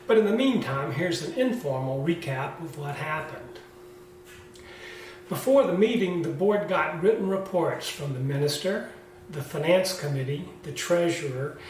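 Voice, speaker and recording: A man presenting an informal scripted video recap of church business, recorded in an echoey room away from the microphone. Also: on the high side. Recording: very echoey room, distant mic, faint noise.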